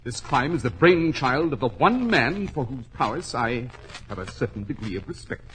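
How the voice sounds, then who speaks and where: A masterful gentleman detective with a widely swinging, animated delivery. He is clipped, exact and sure of himself, in the manner of 1940s radio drama. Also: medium pitch, normal speed, slight background noise, rough recording. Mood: confident, revealing. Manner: clipped, authoritative, precise. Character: brisk, commanding, self-assured gentleman detective.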